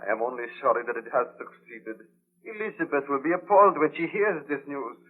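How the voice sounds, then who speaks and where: Through a muffled vintage broadcast comes an actor playing a stern gentleman, grave and reproachful. His mid-pitched voice has the formal, theatrical delivery of 1930s radio drama. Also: animated, a little fast, lightly acted. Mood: reproachful, alarmed.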